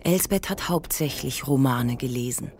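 Low-voiced sober female narrator. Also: moderate pace, darkish tone, slight background noise. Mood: sober, measured. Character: sober female narrator observing an ageing widower. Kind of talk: literary radio play narration.

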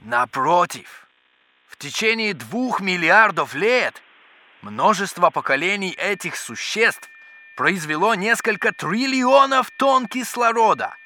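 An actor playing an excitable, pedantic scientist in a children's educational audio drama, with theatrical, exclamatory delivery. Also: male voice, high voice, takes it slowly, heavily acted. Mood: eager, pedantic. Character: excitable, bookish male scientist, absorbed in microbes. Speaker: scientist character.

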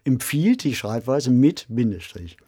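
A man's voice: medium pitch, sing-song, a little slow, mellow, husky. A man hosting a conversational interview, putting questions and comments to a guest. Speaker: interviewer, podcast host.

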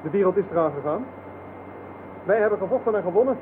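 A tinny, noisy radio play recording of a curt sergeant. His high-pitched male voice fires off quick, clipped, obedient statements. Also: lively intonation. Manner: clipped, declarative, military.

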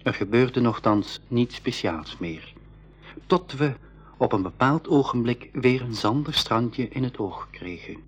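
A man's voice: medium pitch, lively intonation, measured pace, muffled recording, a little hiss or hum, lightly acted. A man playing an earnest young adventurer recounting his journey in a school radio play. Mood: tense narration. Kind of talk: scripted first-person storytelling in school radio play.

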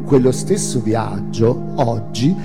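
A man's voice: medium pitch, expressive, slow, creaky. A man giving a theatrical reading of an autobiographical narrative. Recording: long hall reverb, music behind. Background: music.

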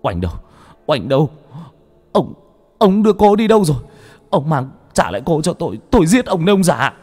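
A man's voice: low voice, moderate pace, mellow, breathy edge. A man voicing a spoiled young son, wailing and unhinged. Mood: frantic. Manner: wailing, frantic.

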